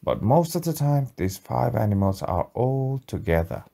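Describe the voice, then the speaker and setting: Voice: mid-range voice, very animated, moderate pace, vocal fry, dark tone. An adult telling an improvised bedtime story to a child, patiently and in simple phrases.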